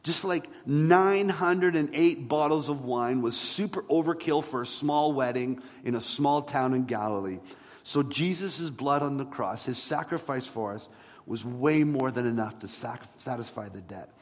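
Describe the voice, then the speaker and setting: A man preaching a sermon as a pastor, in a conversational way with rhetorical questions and emphatic pauses. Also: mid-range voice. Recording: long hall reverb.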